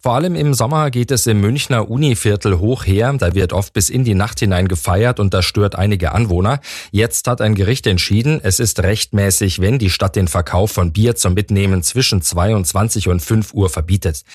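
A man reading scripted radio news as an anchor, with a husky voice. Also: fairly low pitch, normal speed, a little mellow, no room sound, studio quality.

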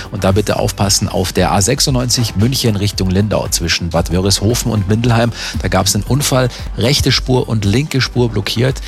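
A man reads out road warnings on the radio with a low, gravelly voice. He is brisk and friendly and speaks right to listeners. Faint music runs underneath. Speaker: traffic reporter. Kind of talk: traffic report.

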